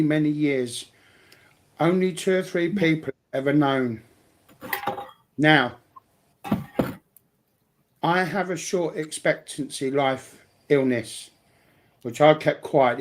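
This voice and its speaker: A blunt, sweary man chatting casually as a guest on a livestream. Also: on the high side.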